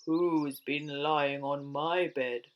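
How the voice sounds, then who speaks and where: A woman voicing a big, gruff Father Bear in a children's storybook, booming with slow indignation. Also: steady tone. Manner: slow, drawn-out indignant questioning.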